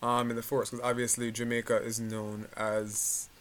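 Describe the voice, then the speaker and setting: A man co-hosting a podcast, animatedly retelling a news story with many pauses. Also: medium pitch, monotone, fairly bright tone, a little hiss or hum. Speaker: podcast co-host. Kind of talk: casual chat, recounting news.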